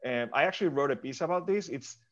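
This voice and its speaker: A man's voice: fairly high pitch, lively intonation, quick pace, highs cut off. A man from Argentina answering a podcast host's questions thoughtfully, often restarting and repeating words as he works out his point. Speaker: podcast guest. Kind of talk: interview answers.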